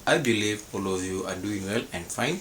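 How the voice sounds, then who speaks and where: A man hosting his own channel, reading a formal, repetitive script with pauses and restarts. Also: lowish voice, fairly bright tone, slight background noise. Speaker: channel host. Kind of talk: scripted reaction-channel narration.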